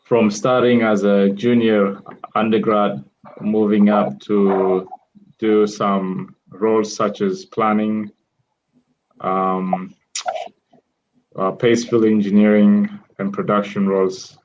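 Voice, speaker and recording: A man in his thirties from Ethiopia, a guest in an online interview, speaking in a measured way with fillers over a video call. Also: fairly low pitch, little pitch movement, darkish tone, a little hiss or hum. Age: 30s. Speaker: guest entrepreneur. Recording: dull lo-fi call audio.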